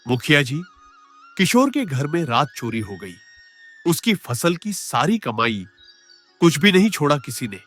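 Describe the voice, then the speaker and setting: Male actor as anxious farmer neighbour, highish voice with lively swings, earnest story acting over music. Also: measured pace, pauses often. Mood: worried. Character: worried, earnest village farmer, a concerned neighbour. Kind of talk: dramatized moral story acting.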